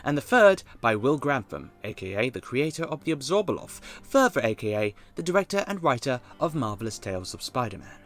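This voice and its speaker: A man's voice: mid-range voice, very animated, a little fast, few pauses, a bit bright. A man narrating a scripted casting call for a fan audio drama, articulate and formally worded with chatty asides.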